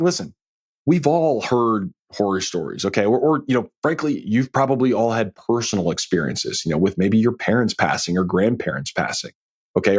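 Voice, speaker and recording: A man's voice: medium pitch, slightly rough. A middle-aged man hosting a financial advice podcast solo, talking conversationally in an emphatic, folksy way with frequent rhetorical check-ins. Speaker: podcast host and financial planner.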